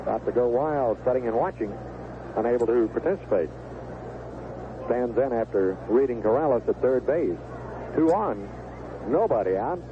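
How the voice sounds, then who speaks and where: A man's voice: mid-range voice, lively intonation, moderate pace, long pauses. A man calling live baseball play-by-play on the radio as the game's announcer. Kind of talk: live sports commentary. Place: stadium broadcast booth. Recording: narrow, noisy 1970s AM radio broadcast.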